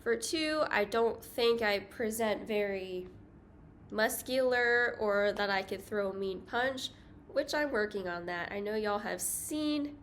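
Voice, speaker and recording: Chatty woman with a high voice. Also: a bit bright, a little hiss or hum. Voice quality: higher-pitched voice. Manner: chatty, self-deprecating, with light laughs. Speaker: travel vlogger. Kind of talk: casual talking-head vlog.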